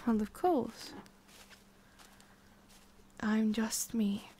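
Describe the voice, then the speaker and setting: A woman playing a lonely, guarded immortal witch in an intimate ASMR roleplay, speaking softly and often dropping to a whisper. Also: a little high, extremely slow, a little hiss or hum. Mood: melancholy.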